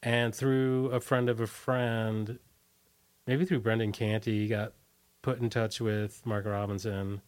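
A man's voice: on the low side, level tone, slow, clean tone. A man, a musician being interviewed, reminiscing casually in a hesitant, rambling way that often trails off. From Washington, D.C. area, United States.

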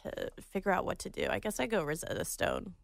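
Giggly female co-host, animated with lots of fry, dry close sound. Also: fairly low pitch, brisk, no room sound. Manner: giggly, bubbly, filler-heavy. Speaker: co-host, pageant contestant. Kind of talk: casual chat.

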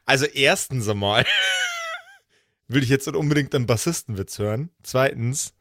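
A man's voice: medium pitch, moderate pace, a bit bright, no echo, clean studio sound. A man bantering casually and teasingly as a player in a tabletop game.

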